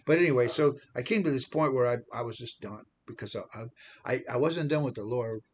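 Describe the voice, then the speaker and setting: A man's voice: mid-range voice, fairly quick, breathy edge. Rambling seventy-year-old American man. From United States. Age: 70s. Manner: rambling, repeats words and restarts, self-deprecating. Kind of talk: personal testimony monologue.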